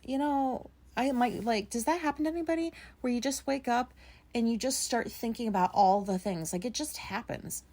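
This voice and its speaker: A woman in her thirties with a raspy voice, talking casually and rambling on her solo podcast, with many fillers and some swearing. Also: a little high, normal speed, a little mellow. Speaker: podcast host.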